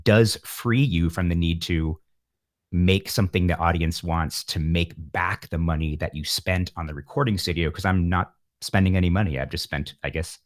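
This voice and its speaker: A man with a low-pitched voice talks in a reflective interview style. He is earnest and discursive, with a self-deprecating streak. The sound is dry, with very little room around it. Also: normal speed, no echo. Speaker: interview guest, musician.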